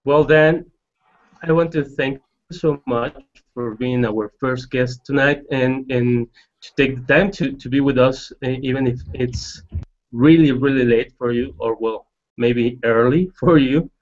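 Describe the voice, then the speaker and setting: A man's voice: mid-range voice, fairly even intonation, unhurried. A man hosting an online talk show, politely welcoming guests and stacking several questions in a row. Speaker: host. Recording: muffled lo-fi call audio.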